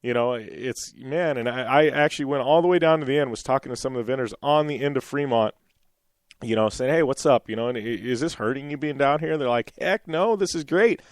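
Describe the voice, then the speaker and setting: A man hosting a radio show in a casual, rambling way, full of "you know" and restarts. His voice is a little raspy from a cold, yet it still comes through smooth and resonant. The sound is close and dry, with no room behind it. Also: mid-range voice, a little fast, no echo. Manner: rambling, many fillers and restarts. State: slightly raspy from losing his voice.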